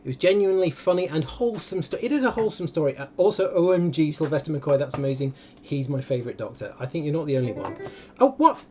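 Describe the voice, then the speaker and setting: A man with a fairly high, very animated voice hosts an online game. He chats playfully and casually. There is faint noise behind him. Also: quick pace. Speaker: host of a livestream. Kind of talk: casual banter while hosting an online drawing game. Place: indoors, on an online video call.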